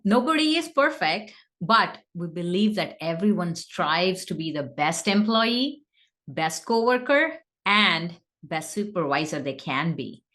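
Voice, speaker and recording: A woman's voice: fairly low pitch, a bit bright. A woman co-hosting a podcast, introducing the guest in an earnest, encouraging manner. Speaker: podcast co-host. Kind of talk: partly scripted podcast introduction.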